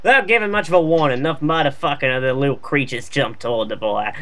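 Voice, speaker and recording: Guy reading goofy fanfic out loud, lots of slang and cussing, middling pitch, jokes as he goes. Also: fast. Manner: slangy, sweary, jokey. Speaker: fan fiction reader. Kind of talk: comedic read-aloud with casual commentary.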